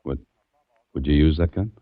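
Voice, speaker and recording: Deep-voiced, wisecracking radio sleuth. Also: male voice, moderate pace, no room sound. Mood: brash, wary. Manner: wisecracking, hard-boiled delivery. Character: wry, tough male amateur sleuth, brash and dogged. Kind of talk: 1940s radio detective acting and narration. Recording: muffled 1940s radio recording.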